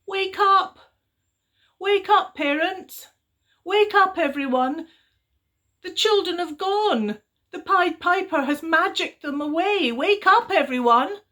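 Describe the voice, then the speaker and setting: Brief: woman playing small village girl, high shrill calls, urgent. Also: sing-song, normal speed, few pauses. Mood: alarmed. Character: brave little village girl with sore leg.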